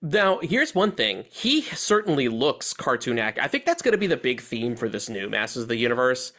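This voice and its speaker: A man hosting a movie podcast, chatting casually and giving animated opinions with slang and swearing. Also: mid-range voice, normal speed. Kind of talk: casual chat, film discussion.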